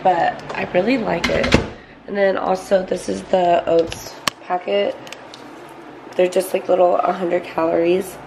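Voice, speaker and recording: A woman's voice: lowish voice, gravelly, noisy background. A young woman in her twenties, stuffed up from a sinus infection, chatting to a handheld camera at home in an upbeat way. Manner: chatty, upbeat, quick asides. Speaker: fitness vlogger. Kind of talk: casual vlog talk. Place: home kitchen.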